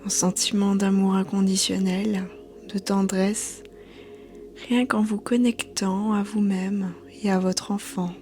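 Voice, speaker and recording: A woman yoga teacher guiding a meditation in a soothing, hushed, breathy voice. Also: mid-range voice, little pitch movement, takes it slowly. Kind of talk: guided meditation narration. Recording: soft background music. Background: quiet music.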